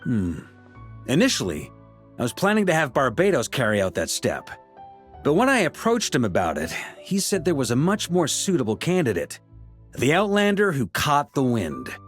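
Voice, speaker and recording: An actor playing a relaxed, good-humored grandmaster knight, speaking in an easygoing, wry way. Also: male voice, medium pitch, moderate pace, faint music behind. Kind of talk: game voice acting.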